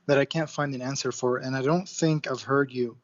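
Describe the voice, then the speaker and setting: A Lebanese-born man whose first language is Arabic, calling in and speaking politely and warmly. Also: mid-range voice, fairly even intonation, brisk, dull audio. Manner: polite and complimentary. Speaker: caller. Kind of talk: phone-in call.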